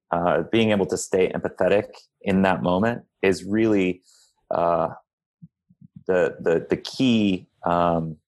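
A man giving explanatory interview answers as a public health guest, in a measured, halting manner that searches for words. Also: sits low, a little mellow.

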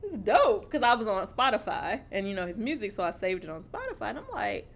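An American woman co-hosting a talk show in casual, blunt, profane banter, telling stories in an animated way. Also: medium pitch, quick pace. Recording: noticeable background noise.

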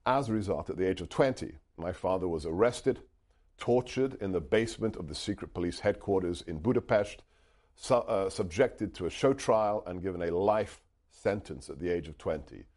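A man's voice: low voice, normal speed, a little mellow. A middle-aged man raised in the UK, a Hungarian speaker, earnestly telling a story as a guest and restarting his phrases often. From United Kingdom. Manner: earnest, emphatic, with frequent restarts. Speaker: author and guest. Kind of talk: interview answers, storytelling.

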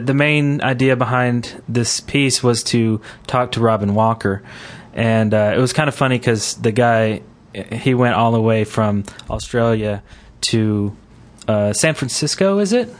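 A man hosting a casual gaming podcast, talking in a halting, rambling way. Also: mid-range voice. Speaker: podcast host.

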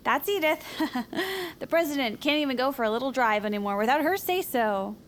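Jittery, chirpy, high-voiced confidante. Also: female voice, animated, fairly quick, a bit bright, slight background noise. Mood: admiring. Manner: nervous, chirpy, scattered. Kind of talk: period audio drama acting.

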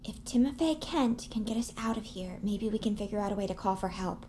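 An actress plays a practical young woman with a medium-pitched voice. She sounds worried, in an audio drama with faint music underneath. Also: a little fast, mellow, natural voice. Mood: hushed worry.

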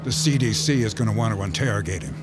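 A man's voice: lowish voice, animated, quick pace, over loud music, natural voice. An actor playing a grim male officer delivering urgent warnings in a horror radio play. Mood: grave. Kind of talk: radio drama acting.

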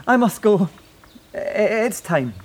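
An actor playing a wistful, reflective man in a naturalistic audio play, speaking haltingly and lyrically. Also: high-pitched, sing-song, full character voice. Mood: tender, resigned. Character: wistful, elated man saying goodbye to his beloved. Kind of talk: naturalistic audio drama acting.

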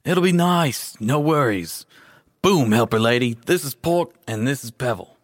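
A man's voice: mid-range voice, lively intonation, unhurried, dry recording, clean studio sound. A man recording voice lines who keeps flubbing and criticizing his own takes. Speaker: voice actor. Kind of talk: recording session outtakes.

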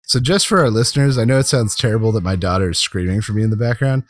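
A man's voice: lowish voice, fast talker, no echo. An American man hosting a podcast in casual, conversational talk. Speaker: podcast host.

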